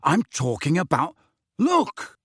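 A man acts out an earnest teenage boy in a storybook. He is impatient and puzzled, halting over his words, with a very lively pitch. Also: no echo, clean studio sound, heavily acted. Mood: urgent, disbelieving. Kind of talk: audiobook character acting.

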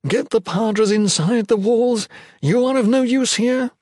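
A man's voice: very high voice, unhurried, a little mellow, no echo, clean studio sound, voice pushed up. A man voicing an embattled mage-priest in a fantasy audiobook, loyal and commanding, giving urgent orders in a barely controlled voice. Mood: tense, controlled.

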